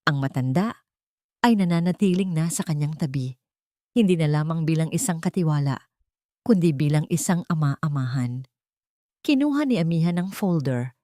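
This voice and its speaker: A woman's voice: deep voice, dark tone, no echo, clean studio sound. A woman with a Filipino accent reading a scripted dramatic story as an audiobook narrator.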